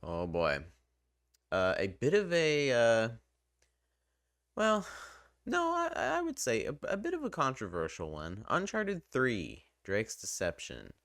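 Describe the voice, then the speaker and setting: A man's voice: mid-range voice, wildly swooping pitch, slow, fairly bright tone, dry recording, clean studio sound. A man giving a casual, unscripted video game review in a conversational, hesitant way, weighing his opinions as he goes.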